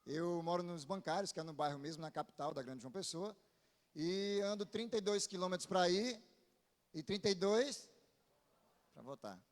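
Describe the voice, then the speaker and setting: High-voiced northeastern Brazilian preacher in reverberant hall. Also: male voice, moderate pace. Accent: northeastern Brazilian, from Paraíba. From Paraíba, northeastern Brazil. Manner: informal, often seeks response with short tag questions. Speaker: visiting Baptist pastor preaching. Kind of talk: sermon to a congregation. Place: church pulpit, amplified in a large hall. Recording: long reverberant hall sound.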